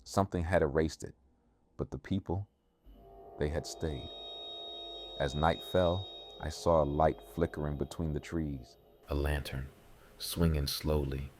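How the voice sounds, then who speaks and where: A man with an extremely low voice narrates a horror story in a slow, measured way. His delivery is ominous and scripted, told in the first person. Music plays underneath. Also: fairly even intonation. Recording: music behind the voice. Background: music bed.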